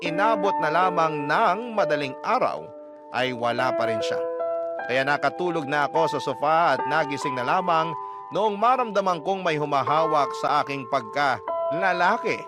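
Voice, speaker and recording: Man acting as a sincere young filipino guy telling his love story on radio, measured, confiding, music loud underneath. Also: on the high side, natural voice. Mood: reflective, earnest. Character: earnest, devout young man recounting his troubled romance. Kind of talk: scripted narration for Filipino radio drama. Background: loud background music.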